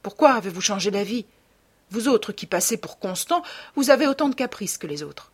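An agitated young man from a storybook as a woman reads him, secretive and imperious. The voice is slightly husky and raised in pitch, pressing every protest with emphasis. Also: lively intonation, a little fast. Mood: accusing.

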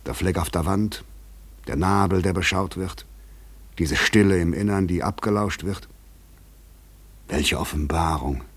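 An actor playing an overwrought, weary fugitive in a German radio play, speaking in an articulate, insistent, rhetorical manner. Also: male voice, deep voice, unhurried, pauses often, breathy edge, heavily acted. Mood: weary, tense. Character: overwrought, weary, probing male fugitive intruder.

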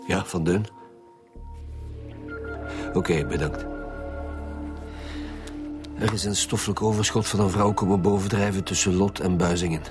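A low-pitched Flemish man leading detectives in a radio crime drama. He is steady and pragmatic, talking informally and sceptically. Music plays behind the dialogue. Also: a little slow, long pauses. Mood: sceptical, practical. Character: steady, sceptical male chief of a homicide squad.

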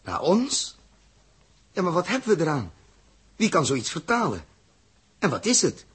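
An actor playing a blunt, intense male spaceship captain in a 1960s radio play, emphatic and deliberate. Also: on the high side, wildly swooping pitch, a bit bright, husky, heavily acted. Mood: puzzled, agitated.

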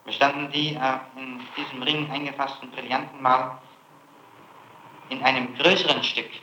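A man's voice: medium pitch, steady tone, moderate pace, pushed and bright. A man working as a prosecutor, questioning formally in a pressing, reproachful way, on an old 1960s interrogation recording. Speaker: public prosecutor conducting an interrogation. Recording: muffled, echoey, noisy 1960s interrogation tape.

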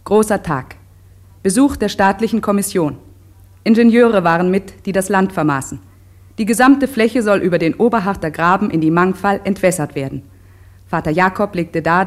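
An actress playing a settler woman who reads her diary in a measured, earnest way in a radio play. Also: on the low side, lightly acted.

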